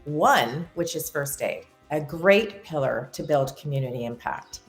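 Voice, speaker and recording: A woman hosts an interview, her lowish voice rising and falling widely as she encourages her guest. The room sounds echoey, with faint music underneath. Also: animated, unhurried. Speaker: show host. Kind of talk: hosted interview.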